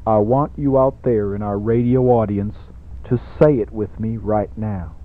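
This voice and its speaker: A man's voice: lowish voice, fairly even intonation, unhurried, close to an everyday voice. Man as a pompous radio evangelist, dull recording. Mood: coaxing. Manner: pompous, oratorical, dramatic swells and hushed drops. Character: fervent, theatrical radio evangelist reverend preaching car-themed faith. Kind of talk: parody radio sermon.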